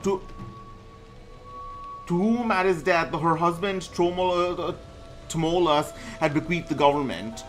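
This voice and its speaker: A man with a fairly high voice reads aloud between bits of casual game commentary. He trips over words and names and keeps backing up to fix them, with music playing underneath. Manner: stumbles over words and names, often correcting himself. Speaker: gaming streamer reading a myth aloud.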